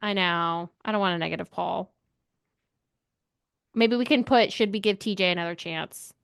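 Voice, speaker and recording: A woman's voice: lowish voice, moderate pace, creaky, clean tone, no room sound. Woman in casual book chat, dry recording. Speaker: book club podcast co-host.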